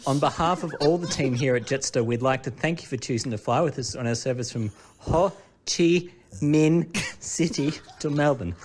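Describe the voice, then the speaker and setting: A man's voice: mid-range voice, normal speed, a little hiss or hum, pitched above the performer's own voice, lightly acted. A man playing a nervous first-time second officer, hesitant and stumbling through a parody cabin announcement. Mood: nervous, flustered. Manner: hesitant, stumbling, apologetic, giggly.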